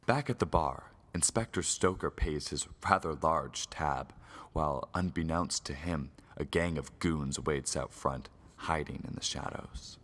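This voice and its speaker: A man's voice: extremely low pitch, unhurried, a bit bright. A man narrating an old-time radio crime serial in a dramatic scripted style.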